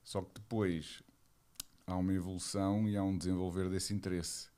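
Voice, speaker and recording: Monk guest: man in his 40s, Lisbon Portuguese, deep low register, searching for words as he reflects. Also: little pitch movement, normal speed, warm tone. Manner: hesitant, searching for words, thoughtful. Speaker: Buddhist monk, interview guest. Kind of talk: reflective personal account.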